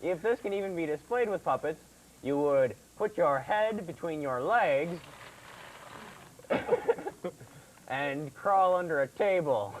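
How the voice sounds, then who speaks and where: A man hosting a comedy puppet segment, talking haltingly as he banters and tries to keep a straight face. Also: on the high side, expressive. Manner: halting, breaks into chuckles. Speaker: host. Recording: noisy amateur TV recording.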